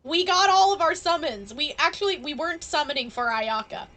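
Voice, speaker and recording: A woman's voice: high-pitched, animated, brisk, fairly bright tone. A woman live streaming a game, chatting casually and playfully with viewers. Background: game music.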